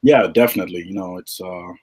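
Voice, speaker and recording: A middle-aged man from Uganda, a retired basketball player, answering podcast questions in a relaxed, halting way with many fillers. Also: sits low, husky. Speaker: guest, retired pro basketball player and agent. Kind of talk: podcast interview.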